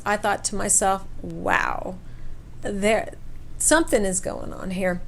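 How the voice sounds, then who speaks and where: A woman telling a personal story in a casual, chatty way, with an animated, informal manner. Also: mid-range voice, slight background noise. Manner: animated retelling, acts out quoted dialogue. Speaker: storyteller vlogger.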